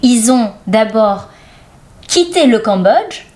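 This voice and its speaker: A woman with a fairly high voice shares a personal story in a casual chat. She speaks as a podcast co-host among friends, slipping into quoted dialogue as she goes. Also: animated, takes it slowly, a little mellow.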